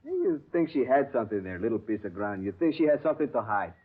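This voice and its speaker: An excitable gossipy gardener. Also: male voice, mid-range voice, fast talker, slightly rough, faint music behind. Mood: confiding. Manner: broken English, animated, gossipy. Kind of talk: 1950s radio drama acting. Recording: tinny old radio broadcast.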